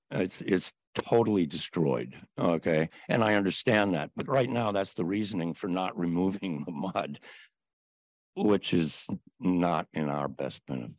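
A man with a very low voice speaks at a council meeting as a resident. He is plain-spoken and insistent, and the sound is dry and close. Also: darkish tone, no room sound, low-quality recording. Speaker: resident speaking at public comment. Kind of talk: public comment at a city council meeting.

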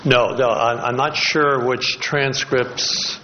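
A man speaking as a consultant at a public meeting, giving informal answers in a halting way and often repeating his words. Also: mid-range voice, steady tone, sharp tone. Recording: muffled, noisy meeting room recording.

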